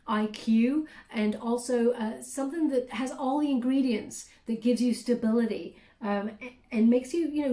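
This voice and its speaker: A woman's voice: a little high, warm tone, echoey room. A woman giving a casual tarot reading, chatty and full of fillers, often checking in with "okay?". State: clearing throat, voice catching. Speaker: tarot reader.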